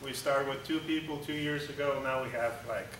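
A Russian-accented man in his thirties speaks to a group in a plain, conversational way, blunt and full of "uh"s and restarts. He sounds far from the mic, with a heavy echo from the big room and a steady hum behind him. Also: medium pitch, normal speed. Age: 30s. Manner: conversational and blunt, with frequent "uh" and restarts, gesturing while standing. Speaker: airline pilot giving a talk. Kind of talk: informal slide presentation to a group. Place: large echoey hangar-like meeting room indoors. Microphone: camera at the back of the room.

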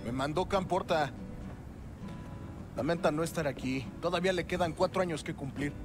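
Man playing a high-voiced, halting solemn mourner. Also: lightly acted. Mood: solemn, nervous. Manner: formal, halting reading, stumbles on words. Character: respectful male mourner reading out a condolence message. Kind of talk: cinematic video game acting. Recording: music behind the voice.

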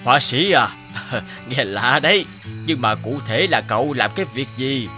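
A man's voice: medium pitch, lively intonation, moderate pace, natural voice. A man voicing an older male farmer in a cartoon, plain-spoken, curious and blunt. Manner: blunt, folksy. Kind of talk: cartoon dubbing. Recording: music behind the voice.

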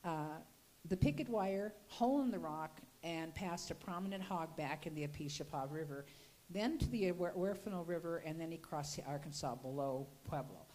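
A woman's voice: sits low, moderate pace, dark tone, poor audio. An older woman giving a folksy, chatty history talk with slides into a handheld mic in a gallery room. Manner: folksy, chatty storytelling with restarts. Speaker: local history speaker.

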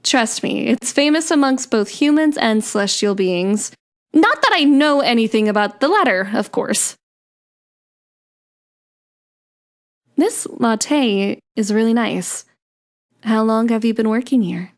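A woman playing a playful, sweet young daughter of Cupid in an ASMR romance roleplay, coy and gently teasing. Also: a little high, lively intonation, a little slow. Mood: curious, teasing.

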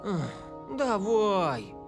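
Eager cocky young soccer kid from a cartoon, swingy pitch, music under it. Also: female voice, very animated, very slow, creaky, heavily acted. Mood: persuasive. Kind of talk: animated cartoon dubbing. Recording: music playing behind the voice.

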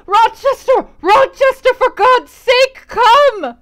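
A woman's voice: very high-pitched, expressive, fairly bright tone, pitched above the performer's own voice, heavily acted. A woman voicing a desperate victim in a novel, crying out urgently for help in shrieks. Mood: terrified, desperate. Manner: shrieking, urgent, drawn-out cries. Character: desperate victim screaming for help through walls.

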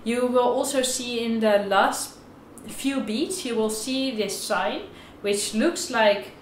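Young woman violin teacher explaining stuff to camera, kinda high voice, echoey room, slightly non-native wording. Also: slow, a little hiss or hum. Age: young adult. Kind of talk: instructional video tutorial, talking to camera. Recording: roomy echo, violin playing at times.

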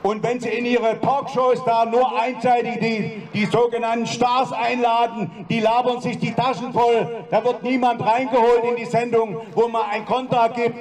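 An older man of around seventy from Dresden speaks in a very high, flat voice. He rambles in long run-on sentences into a handheld mic. He is heard from a distance on a city street. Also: moderate pace. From Dresden, eastern Germany. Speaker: demo speaker at open mic. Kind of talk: indignant protest speech. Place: city street outside a broadcast building. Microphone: handheld mic, far camera.

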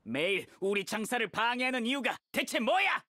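A man's voice: very high voice, big pitch swings, moderate pace, pushed and bright, exaggerated voice. A man voicing a hot-headed young mercenary thug in a video game, aggressive and blustering. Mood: angry, threatening. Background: faint game music.

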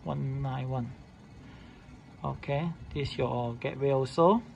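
A man's voice: medium pitch, measured pace, lo-fi sound. A man gives an informal, unscripted step-by-step device demonstration in clipped, repetitive phrasing. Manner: repetitive clipped phrasing in non-standard English. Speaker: tutorial host showing a device setup. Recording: clearly noisy room recording.

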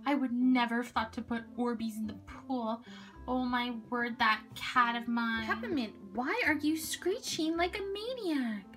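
A woman's voice: on the high side, unhurried, a bit bright, soft background music. A woman voicing a sensible, bossy girl who is exasperated but reassuring, speaking in an emphatic, matter-of-fact way in a children's pretend-play story.

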